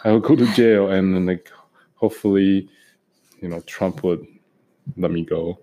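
Man, very deep voice, podcast co-host, casual chat, lots of ums and restarts. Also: moderate pace, mellow. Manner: hesitant, many ums and restarts.

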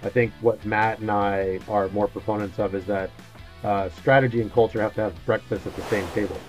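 Warm low-voiced man, dry and muffled. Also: brisk, soft background music. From Mexico, raised in West Texas. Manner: relaxed, explanatory, many fillers. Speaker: podcast guest, sales advisor. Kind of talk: casual interview answers.

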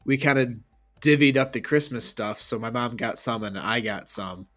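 A young man co-hosting a podcast in relaxed chat, with a deep voice, easygoing and quick to laugh. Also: faint music behind. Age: young adult. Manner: easygoing, laughs a lot, rambling with fillers. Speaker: podcast co-host. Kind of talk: casual chat.

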